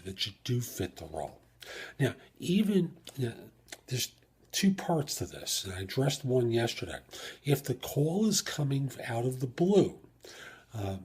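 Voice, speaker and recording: A man's voice: medium pitch, slightly rough. A man hosting an advice podcast solo, explaining things step by step and posing rhetorical questions. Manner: explanatory, asks rhetorical questions and answers them. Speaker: podcast host and job search coach. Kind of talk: career advice podcast monologue.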